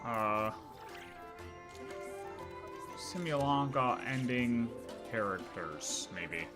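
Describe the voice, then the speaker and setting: A man's voice: medium pitch, animated, extremely slow, over music. A man livestreaming a video game, chatting casually and thinking aloud in slangy, offhand asides.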